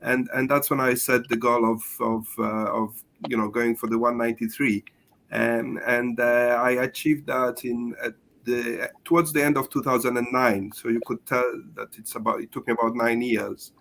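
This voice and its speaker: Older man, gravelly creaky voice, hesitant, faint hiss on the call. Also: medium pitch, steady tone, moderate pace, a bit bright. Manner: hesitant, many fillers. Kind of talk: panel guest answer.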